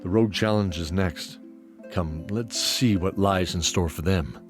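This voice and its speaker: A man's voice: deep voice, a little slow. A man voicing a courteous, grizzled knight in a fantasy audio drama, with formal, encouraging speech. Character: courteous, chivalrous grizzled male knight warrior. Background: music.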